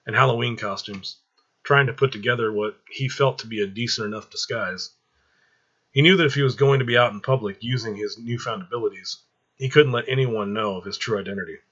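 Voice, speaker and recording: A man's voice: fairly low pitch, bright, forceful tone, muffled recording. A man narrating a written story aloud as a storyteller.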